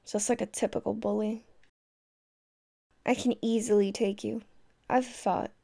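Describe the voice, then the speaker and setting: A woman's voice: medium pitch, flat delivery, measured pace, vocal fry, mellow. A woman playing a tough, fiercely protective young girlfriend in an audio roleplay, speaking directly to the listener. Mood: defiant, confrontational.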